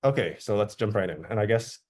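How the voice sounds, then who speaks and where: Low-voiced young man giving a talk. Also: quick pace. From Jamaica. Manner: relaxed, conversational explaining. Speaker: guest speaker, researcher. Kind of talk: academic seminar talk. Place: lecture room.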